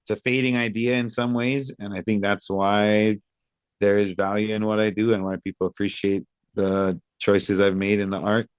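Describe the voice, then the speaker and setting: A man in his thirties from Hawaii, answering interview questions in a thoughtful, measured way with many pauses. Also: fairly low pitch, little pitch movement, clean tone, no room sound. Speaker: guest, reggae singer. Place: outdoors by a pool among high-rise buildings.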